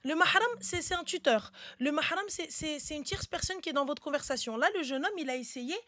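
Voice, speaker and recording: A very high-voiced woman hosts a live advice chat in a close, dry sound. She is blunt and insistent, repeating herself to press her point as she talks casually to callers. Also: brisk, pushed and bright, dry recording. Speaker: live stream host giving relationship advice.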